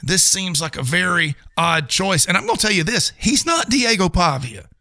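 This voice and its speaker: A man's voice: sits high, animated, normal speed, gravelly, bright, forceful tone, no echo, studio quality. An American man co-hosting a sports talk show, giving casual, opinionated commentary in an emphatic way.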